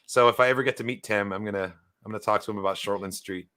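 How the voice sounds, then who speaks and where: A man's voice: lowish voice, steady tone, very fast, a loud crowd. A man from New Zealand with a New Zealand accent, hosting a casual podcast chat with enthusiasm and frequent laughs. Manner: enthusiastic, laughs often, fillers. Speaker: podcast host.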